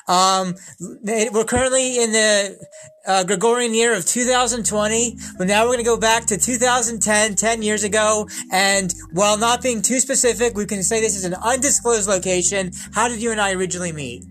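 An American man hosts a casual video call, speaking slowly and deliberately and often repeating himself. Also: sits high, steady tone, a bit bright, poor audio. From United States. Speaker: host.

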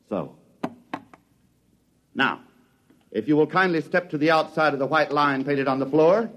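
Smug, animated artist schemer. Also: male voice, fairly high pitch, muffled recording. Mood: smooth, placating. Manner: theatrical, condescending, placating. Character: smug, self-styled artist schemer, condescending, grandly confident. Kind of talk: old-time radio drama acting. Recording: reverberant old radio recording.

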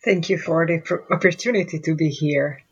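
Low, husky woman, native Hungarian speaker. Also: normal speed. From Romania. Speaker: guest professor and minister. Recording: dull lo-fi sound.

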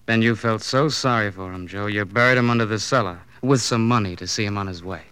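A needling police sidekick in a classic radio crime drama. His voice is lowish, sharp and crisp, loaded with taunting sarcasm. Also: fairly quick, no room sound. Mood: sarcastic.

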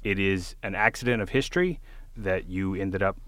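A man from the southern US hosting a podcast chat, making his points briskly and argumentatively. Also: low-pitched, fairly bright tone. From southern USA. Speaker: host.

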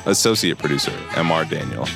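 A man's voice: very deep voice, expressive, quick pace, creaky. A man reading scripted podcast promos and credits as an announcer. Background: loud music.

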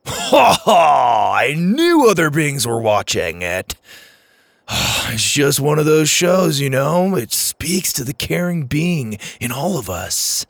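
Geeky, gullible little guy in a kids' audiobook, done by a male narrator: swooping intonation, unhurried, chummy. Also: a little high, big pitch swings, breathy edge, no echo, clean studio sound, voice pushed up, exaggerated voice. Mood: delighted. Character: skinny, geeky adult man, amused, gullible sci-fi fan.

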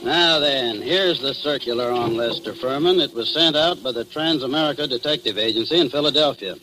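A man's voice: mid-range voice, a little fast, lightly acted. A man with an American accent playing a matter-of-fact police officer, wry and slangy, in 1940s radio drama style. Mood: brisk, dry. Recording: noisy old 1940s radio recording.

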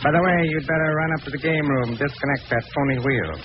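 An actor playing a scheming, murderous gangster boss with tough, taunting talk in an old radio crime drama. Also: male voice, a little high, fast, lightly acted. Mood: sly, commanding. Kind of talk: 1940s radio acting. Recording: noisy old radio recording, muffled, hissy.

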